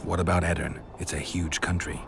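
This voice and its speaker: Low, gravelly monster hunter. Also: male voice, fairly quick, noisy background. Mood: dry, sceptical. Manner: terse, deadpan. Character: gruff, blunt, world-weary monster-hunting mercenary. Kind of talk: video game voice acting.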